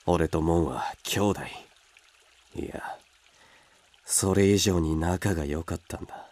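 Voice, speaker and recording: An actor in a radio drama playing a coarse, hot-tempered older brother who is fiercely protective. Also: male voice, deep voice, slow pace, darkish tone, no room sound. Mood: brooding. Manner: coarse, blunt rough speech. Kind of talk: Japanese radio drama acting.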